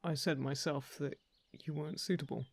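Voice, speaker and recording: A man acts out a courteous male envoy of the crown in a fantasy audio roleplay. His voice sits a little high for a man and often creaks into fry, recorded close and dry. He chooses his words with hesitation and earnestly, gently persuades. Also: steady tone, moderate pace, dry recording. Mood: reassuring, earnest. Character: polite, earnest male royal envoy seeking a lost heir.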